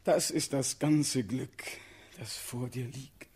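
A young man acting a desperate condemned prince pleading for his life in a classical verse radio drama, impassioned and declamatory, swinging from hush to outcry. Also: mid-range voice, fairly even intonation, slow, slightly rough, exaggerated voice. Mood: sorrowful, tender.

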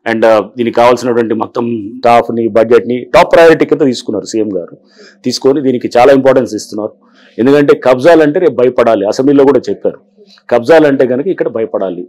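A man's voice: mid-range voice, a little mellow, low-quality recording. A man in his fifties, a government official, explaining his work in an office interview on a lapel mic. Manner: explanatory, mixes English phrases, frequent "see". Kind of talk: interview answers. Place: office, indoors.

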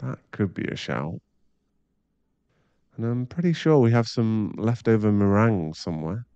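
A man's voice: fairly low pitch, animated, moderate pace, warm tone, clean tone, no room sound, lo-fi sound. A British man playing a caring, chatty young boyfriend who is easily flustered, in an intimate ASMR roleplay. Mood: casual, affectionate.

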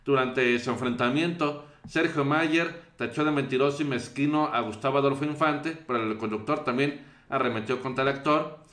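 A man's voice: mid-range voice, moderate pace, a bit bright. A young man with a Mexican accent commenting on news clips from a small bedroom, hesitant with many fillers. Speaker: show host. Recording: roomy echo.

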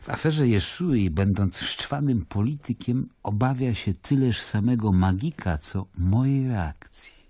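An actor playing a stern, skeptical Roman governor in a radio play, with a husky voice. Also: male voice, lowish voice, animated, unhurried, mellow, no room sound. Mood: brooding, calculating. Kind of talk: dramatic radio play acting and narration.

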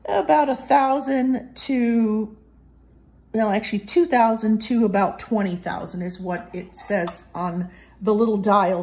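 Woman, medium pitch, product reviewer, casual hands-on demo, explanatory, measured delivery. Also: darkish tone.

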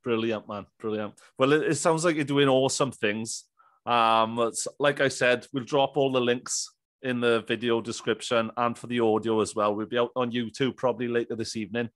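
A man's voice: medium pitch, fairly even intonation, moderate pace, fairly bright tone, no echo. A British man in his thirties hosting a podcast in an informal, matey, chatty way. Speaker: podcast host. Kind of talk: casual interview chat. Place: small indoor room, on a video call. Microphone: studio microphone on an arm, close.

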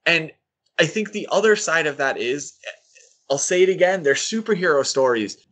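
A man's voice: a little high, animated, moderate pace, fairly bright tone. A man co-hosting a podcast, giving critical opinions in a casual discussion of comics. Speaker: podcast co-host.

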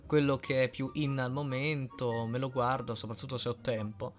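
A man's voice: medium pitch, little pitch movement, normal speed, faint music behind. A young man answering questions in a casual, easygoing and slightly hesitant way. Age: young adult. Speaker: dubbing content creator answering fan questions.

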